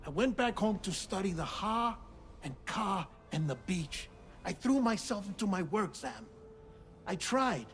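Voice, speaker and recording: An actor playing an earnest, dying doctor in a video game cutscene, confessing in deliberate, formal phrases with pauses. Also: male voice, sits high, expressive, faint music behind. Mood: weary, resigned. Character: earnest, world-weary male doctor made of transplanted organs, dying. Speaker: doctor and researcher.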